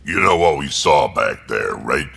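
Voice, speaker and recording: Grizzled alien warrior, extremely low pitch, gravel throat, blunt, grim, video game dialogue. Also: male voice, expressive, a little slow, fairly bright tone, full character voice. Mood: grim, ruthless. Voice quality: very deep, gravelly. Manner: blunt, clipped. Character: gruff, grim, battle-hardened alien male warrior, ruthless.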